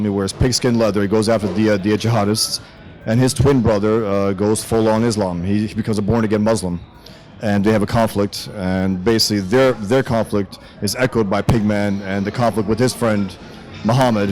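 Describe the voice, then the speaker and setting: A man with a New York accent speaks in a lowish voice as an interview guest. He talks haltingly, filling gaps with "uh", over faint background music. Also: darkish tone. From the Bronx, New York. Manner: halting, many "uh" fillers. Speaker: guest cartoonist. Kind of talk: interview answers.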